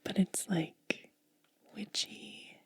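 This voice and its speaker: Whispering woman, very slow ASMR. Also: no echo. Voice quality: breathy, whispered. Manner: slow, hushed, lingering on short phrases. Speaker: ASMR video host. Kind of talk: soft-spoken ASMR.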